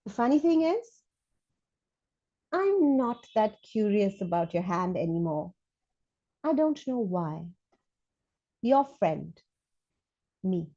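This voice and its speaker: A woman voicing a chatty, frank, excitable school child in an animated storybook read-aloud, gushing with dramatic emphasis. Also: mid-range voice, takes it slowly, long pauses, lo-fi sound, full character voice. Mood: admiring, candid.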